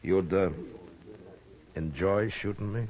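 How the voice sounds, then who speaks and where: A man's voice: low voice, takes it slowly. An actor playing a weary, stern western marshal in a 1950s radio drama, grave and laconic in his delivery. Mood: grim. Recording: muffled, noisy 1950s radio recording.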